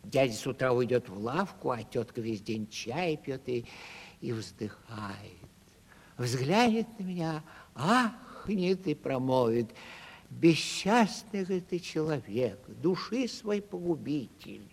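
An actor playing a hapless, excitable wandering comedian in a radio play, telling things in a plaintive, animated comic way. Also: male voice, mid-range voice, slow pace, vocal fry, breathy edge, full character voice. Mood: mournful, mimicking. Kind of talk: 1970s theatrical radio play acting. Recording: reverberant radio drama with faint music.

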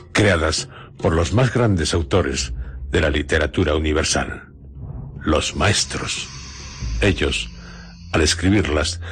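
Very deep, slow Castilian Spanish radio man. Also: husky. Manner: solemn, theatrical, deliberate phrasing with pauses. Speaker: radio host. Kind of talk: dramatic scripted radio narration introducing horror and adventure stories. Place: radio studio theatre. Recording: music bed under studio radio voice. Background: music.

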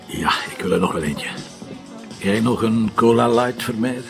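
An actor with a Flemish accent playing a cocky, sardonic middle-aged police commissioner in a TV crime drama, speaking colloquially with a gravelly voice. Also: male voice, fairly low pitch, normal speed, music in the background. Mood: jovial, probing. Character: cocky, hard-drinking, teasing male police commissioner. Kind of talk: naturalistic TV crime drama dialogue.

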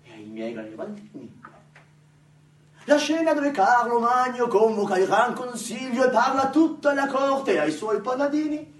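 A man playing a pompous, exalted military leader who fancies himself a great emperor, declaiming grandly and haltingly in a theatrical monologue. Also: very high-pitched, big pitch swings. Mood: exalted, grandiose. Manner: grandiose, declamatory, halting, swinging from hush to shouts. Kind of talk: theatrical radio monologue acting. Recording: roomy, distant, noisy theatrical recording.

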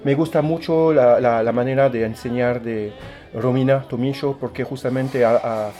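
A man's voice: mid-range voice, fairly even intonation, moderate pace, mellow, faint music behind. A man from France with a French accent, a tango DJ answering radio interview questions in a hesitant way, repeating words often. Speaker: tango DJ and milonga organizer.

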